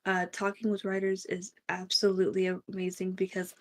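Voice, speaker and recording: A woman answering as a panelist in a casual discussion, in halting, measured phrases. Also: medium pitch, completely flat delivery. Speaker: podcast panelist and writer.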